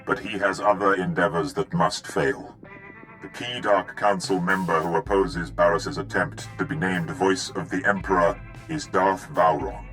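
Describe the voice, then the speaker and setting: An actor playing a cold, commanding Sith in a video game, speaking in a solemn, formal, imperious way. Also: male voice, sits low, bright, forceful tone, low-quality recording. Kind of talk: video game dramatic acting.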